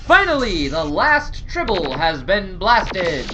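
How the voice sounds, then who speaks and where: A woman's voice: on the low side, sing-song, slow pace. A woman narrating a scripted serial in a dramatic storytelling style. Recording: dull lo-fi sound with faint noise.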